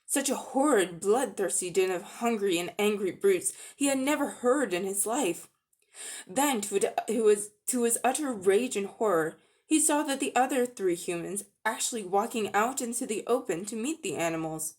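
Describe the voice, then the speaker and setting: A woman narrating a children's story as a dramatic reading, with expressive storytelling delivery. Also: mid-range voice, normal speed. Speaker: audiobook-style narrator.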